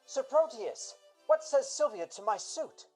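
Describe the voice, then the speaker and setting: A woman plays a foolish, pompous gentleman suitor in a radio comedy. The delivery is giddy and leaps around in pitch, yet the tone stays soft and dark. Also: lively intonation, a little slow, soft background music. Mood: eager, giddy.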